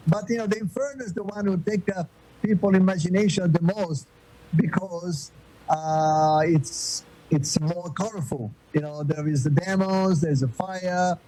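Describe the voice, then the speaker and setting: An older man from Florence with a Florentine Italian accent, answering interview questions with many pauses and restarts. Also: high-pitched, warm tone, low-quality recording. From Florence, Italy. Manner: hesitant, many fillers and restarts. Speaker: guest.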